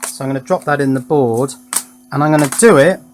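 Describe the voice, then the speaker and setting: A man presents a hands-on technical demonstration in a casual way. His voice sits at an average pitch. He thinks aloud as he works, often talking to himself as much as to the viewer. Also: fairly quick. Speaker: electronics hobbyist presenter.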